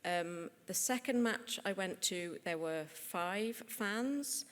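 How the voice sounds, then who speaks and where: A woman researcher giving a conference talk, lively, with frequent "um" and "kind of". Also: medium pitch, takes it slowly, clean tone. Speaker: researcher presenting. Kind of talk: conference presentation. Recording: echoey hall.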